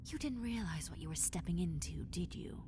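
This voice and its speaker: A woman voicing a possessive, teasing lamia, a half-snake predator, in a roleplay audio drama, with condescending, seductive taunts that slip into breathy whispers. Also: lowish voice, lively intonation, fairly quick, natural voice. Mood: condescending, amused. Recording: long cave-like reverb, faint noise.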